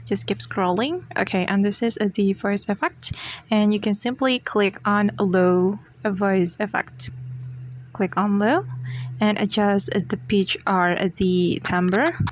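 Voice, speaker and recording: Over some faint background noise, a woman with a mid-pitched voice hosts a casual how-to tutorial. She explains each step plainly, as if walking viewers through an app. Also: slow. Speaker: tutorial host.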